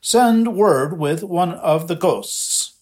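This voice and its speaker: Elderly male headmaster in a storybook, read by a man: calm, measured, slightly high-pitched. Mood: grave.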